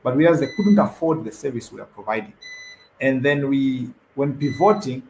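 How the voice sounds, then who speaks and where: A man's voice: medium pitch, animated, normal speed, darkish tone, a little hiss or hum, rough recording. A young man from Zambia answering as a guest on a panel, hesitant, repeating words and using many fillers. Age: young adult.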